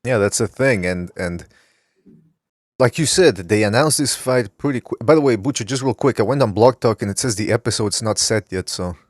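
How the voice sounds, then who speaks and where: A man with a fairly low voice hosts a loose, rambling podcast and keeps reading listener comments from the chat room aloud. His voice sounds close and dry, with no room behind it. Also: normal speed, no echo. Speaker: podcast host. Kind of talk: casual talk show chat.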